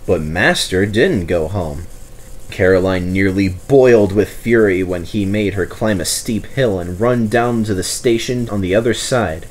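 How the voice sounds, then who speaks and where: A man's voice: low-pitched, measured pace. A man narrating a children's story from a script as the storyteller.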